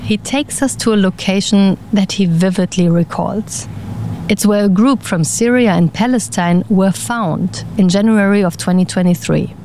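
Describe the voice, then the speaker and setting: A woman's voice: mid-range voice, moderate pace, mellow, a little hiss or hum. A woman reporter reading scripted documentary narration.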